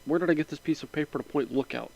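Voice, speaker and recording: A man with a slightly raspy voice from a sinus infection, casually narrating a step-by-step walkthrough at his computer, rambling a little with fillers. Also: fairly high pitch, racing, poor audio. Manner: relaxed, rambling, with frequent fillers. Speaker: mod tutorial narrator. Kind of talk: casual screen-recording walkthrough.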